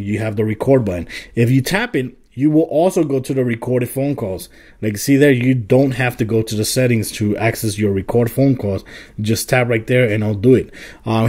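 A man presents a phone app tutorial in a casual, informal way. His voice is mid-pitched and on the mellow side. He explains each step plainly, as if showing a viewer around. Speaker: tech video host.

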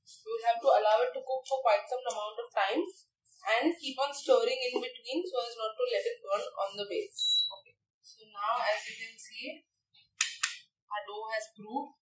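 A woman's voice: a little high, steady tone, normal speed. A young woman chef instructor giving a step-by-step cooking demonstration, recorded in a large teaching kitchen. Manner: instructive, step-by-step, frequent "okay" and "right". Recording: echoey kitchen, distant from mic.

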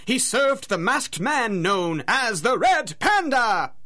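A man's voice: very high voice, sing-song, takes it slowly, pushed and bright, full character voice. A man narrating a radio drama in a theatrical, dramatic storytelling manner.

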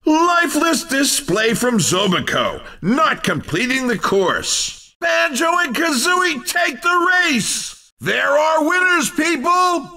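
An excitable video game race commentator, calls results with theatrical emphasis. His voice is very high and piercingly bright, and he takes his time over each unhurried phrase. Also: far from the mic, heavily acted.

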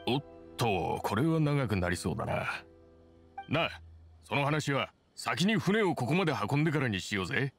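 A man's voice: mid-range voice, very animated, moderate pace. An actor playing a loyal, easygoing boatman in an anime game, casual and plain-spoken. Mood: friendly, easygoing. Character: easygoing loyal boatman, friendly adult man. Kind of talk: anime video game voice acting. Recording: music behind the voice.

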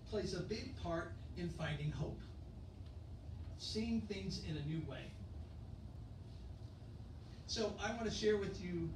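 A middle-aged man preaching a conversational sermon, chatty and relaxed, asking his congregation questions as he walks around the room. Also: on the high side, noisy background. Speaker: pastor. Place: church fellowship hall, indoors. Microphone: handheld mic, filmed from far back. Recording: echoey room from far off.

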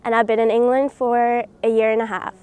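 A woman's voice: on the high side, little pitch movement, a little fast, darkish tone, clean tone. A woman in her twenties from Norway, a student, chatting in an interview outdoors and laughing easily. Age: 20s. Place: outdoor park.

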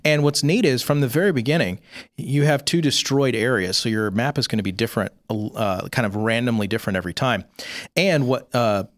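A man's voice: mid-range voice, brisk, dry recording, studio quality. A man co-hosting a podcast, chatting casually about games. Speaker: podcast co-host.

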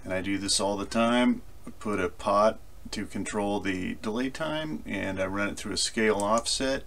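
Low, gravelly man explaining software. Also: normal speed, a little hiss or hum. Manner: explanatory, conversational, thinking aloud. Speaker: tutorial presenter. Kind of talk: informal software screencast tutorial.

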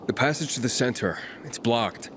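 An actor playing a young male survivor hero in a video game, earnest and gruff with a gravelly voice. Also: mid-range voice, expressive, moderate pace, background noise, close to an everyday voice. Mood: calm.

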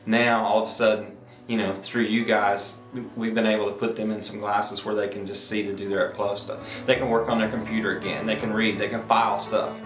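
A man's voice: fairly low pitch, flat delivery, fairly quick, fairly bright tone. A man in his fifties explaining his work in an interview. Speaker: eye care practitioner. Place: eye exam room indoors. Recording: roomy, music underneath. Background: guitar music.

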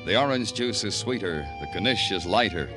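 An actor as brooding noir detective narrator, music underneath. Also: male voice, on the low side, expressive, lightly acted. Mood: wry, lyrical. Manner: dramatic, poetic, deliberate delivery. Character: world-weary New York police detective narrating his beat. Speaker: radio drama lead narrator. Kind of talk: 1950s radio noir narration. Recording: old radio broadcast.

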